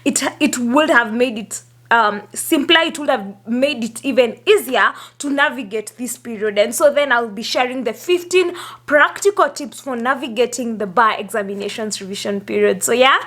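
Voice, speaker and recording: A hoarse woman, chatting upbeat to her viewers in a casual vlog, laughing often between phrases. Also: fairly high pitch, normal speed, fairly bright tone. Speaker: YouTuber. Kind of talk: casual vlog talk to camera.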